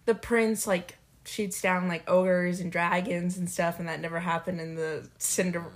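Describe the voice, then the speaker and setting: A young woman co-hosting a podcast, speaking in a relaxed, casual way and sometimes drawing out her words. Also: fairly low pitch. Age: young adult. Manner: relaxed, drawn-out, laughs along. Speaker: podcast co-host. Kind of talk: casual chat.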